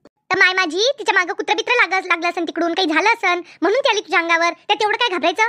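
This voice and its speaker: A woman voicing an excitable, easily frightened village woman in a cartoon comedy, with a rural Maharashtrian accent and a chattery, repetitive way of talking. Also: very high-pitched, very fast. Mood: flustered. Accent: rural Maharashtrian Marathi.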